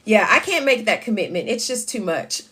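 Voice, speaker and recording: A woman, hosting a casual live chat with a loud voice that carries and reading viewer comments aloud. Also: mid-range voice, lively intonation, normal speed. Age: 50s. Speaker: livestream host.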